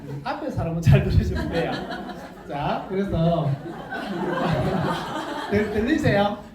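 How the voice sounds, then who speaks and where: A man's voice: sits high, sing-song, takes it slowly, over a noisy crowd. A man with a Gyeongsang Korean accent giving an unscripted health lecture to a live class. He chats freely, checks whether the class is following and laughs often. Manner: chatty, asks the class for agreement, laughs often. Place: lecture room with a live audience. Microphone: no working mic, heard from across the room. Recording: very echoey room, distant from mic.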